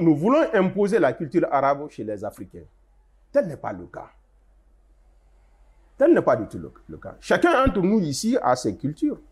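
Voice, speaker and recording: A man's voice: a little high, darkish tone, breathy edge. An older man, a translator, answering interview questions in an emphatic, explanatory way, with stressed rises and frequent restarts. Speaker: guest, Arabic translator.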